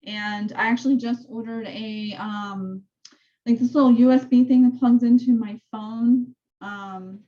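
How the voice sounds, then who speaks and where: A woman with a soft voice, casually walking her real estate team through training tools on a call. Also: a little high, fairly even intonation, unhurried, muffled recording. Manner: chatty, rambling explanations. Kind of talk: casual team meeting walkthrough.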